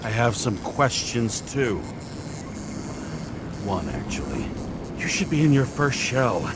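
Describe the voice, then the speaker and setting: An actor playing a worn-out, sardonic detective in a video game, with a dry, wry, insistent delivery. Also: male voice, animated, slow pace. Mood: probing, sardonic. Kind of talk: dramatic video game dialogue acting. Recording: very noisy game ambience, vehicle rumble.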